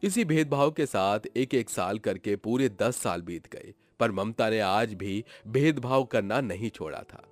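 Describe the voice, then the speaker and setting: A man's voice: fairly low pitch, lively intonation, unhurried, hardly any pauses. A man narrating a scripted story in a dramatic storytelling style. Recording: music behind the voice.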